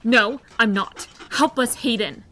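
A woman's voice: a little high, slow pace, fairly bright tone, slight background noise. An actress playing an outraged, defiant young female captive in an audio drama. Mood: defiant, angry.

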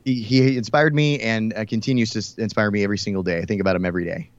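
A man with a lowish voice talks about his radio work in a friendly, meandering way, full of fillers and restarts. The sound is dry and a little dull. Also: fairly even intonation, racing, dry recording. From Houston, Texas. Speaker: country radio host, guest. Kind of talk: casual interview chat.

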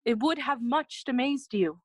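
Loyal young companion to a prince, higher voice, earnest, formal Shakespearean stage speech. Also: female voice, unhurried, a little mellow, dry recording, clean studio sound. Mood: solemn, sincere. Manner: earnest, formal, clearly declaimed. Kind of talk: bilingual Shakespearean audio play acting.

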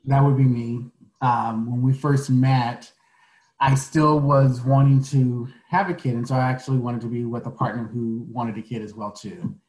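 A man in his forties, a podcast guest answering questions earnestly, halting with many fillers. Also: mid-range voice, flat delivery, darkish tone, roomy sound, low-quality recording. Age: 40s. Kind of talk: casual interview answers.

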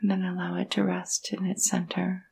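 A woman leading a guided meditation in a soft, breathy, husky voice, gentle and soothing, with long pauses between phrases. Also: on the low side, monotone. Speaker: yoga nidra meditation guide.

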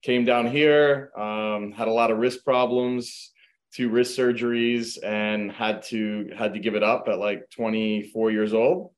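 A man's voice: fairly low pitch, unhurried. A middle-aged man raised in the United States, a real estate agent guest telling his background casually with many fillers over a video call. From Croatia, raised in the United States. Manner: relaxed storytelling, many fillers like um and you know. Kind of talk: casual interview.

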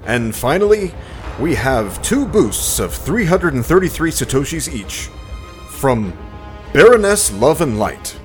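A man hosts a fiction podcast with a theatrical, announcer-like read. His pitch is lively and swings around a middle register, with music playing clearly behind him. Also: unhurried. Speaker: podcast host and presenter. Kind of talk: scripted narration of listener credits and story recap. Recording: loud music under the voice.

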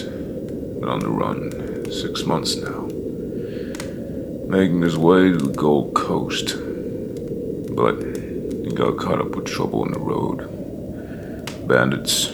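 Very deep, gravelly old bounty hunter. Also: male voice, very noisy, full character voice. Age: older. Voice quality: gravelly, breathy rasp. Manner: slow, halting, folksy drawling storytelling with weary pauses. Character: weary ageing male bounty hunter, grim and world-worn. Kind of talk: dramatic fantasy audio monologue. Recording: music behind the voice. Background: loud music.

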